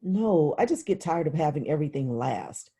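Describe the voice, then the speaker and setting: A woman's voice: sits low, expressive, dark tone, husky, heavily acted. A woman reading the part of a naive, impatient little girl who fires off eager questions in a novel. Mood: envious, pouting. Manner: eager rapid-fire questioning. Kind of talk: literary audiobook dialogue.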